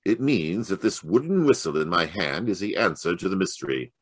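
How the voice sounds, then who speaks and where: Low, swooping radio detective, acted by a man. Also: expressive, husky, no room sound, studio quality. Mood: deliberate, authoritative. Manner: halting, stammering, then deliberate and formal. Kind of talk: old-time radio mystery acting. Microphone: home microphone on a video call.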